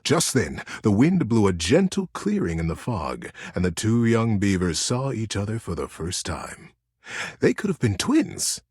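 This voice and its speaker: Male storyteller, dramatic children's-tale read, hugely varied pitch, dry close sound. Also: breathy edge, no room sound. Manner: theatrical, expressive.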